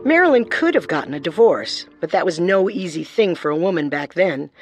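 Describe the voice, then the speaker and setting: A woman's voice: lowish voice, lively intonation, a little fast, faint music behind. A woman co-hosting a true-crime show with scripted narration. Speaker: co-host, narrator.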